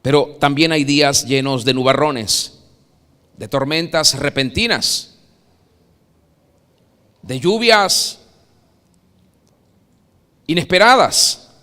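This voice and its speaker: Bright-voiced Venezuelan preacher in a hall. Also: male voice, mid-range voice, clear, resonant tone. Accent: Venezuelan Spanish. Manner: dramatic preaching, swells loud then drops soft. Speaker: pastor. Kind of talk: sermon. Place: church sanctuary. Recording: long reverb of a church hall.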